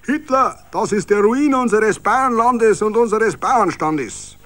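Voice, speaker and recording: Male reader putting on an alarmed rally orator, much raised pitch, shouted, drawn-out warning, Bavarian, radio story. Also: animated, full character voice. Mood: alarmed outcry.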